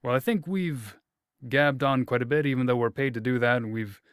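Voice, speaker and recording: A man's voice: mid-range voice, normal speed, smooth, dry recording, studio quality. A man hosting a casual interview, thoughtful and wordy, often repeating himself and making light jokes at his own expense. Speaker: interviewer, host.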